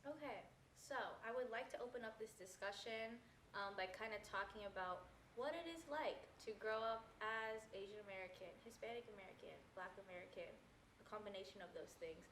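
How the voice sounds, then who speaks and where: A woman's voice: medium pitch, fairly quick, a bit bright, a little hiss or hum. Chatty eighteen-year-old woman moderator. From California. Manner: casual, many "like" fillers. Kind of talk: casual panel discussion. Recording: roomy recording.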